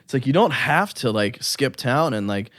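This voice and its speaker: A man's voice: mid-range voice, lively intonation, normal speed, a bit bright, no room sound, studio quality. A young man guesting on a podcast, talking in a loose, rambling way with lots of likes and ums. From United States. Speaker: guest musician. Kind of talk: casual interview chat.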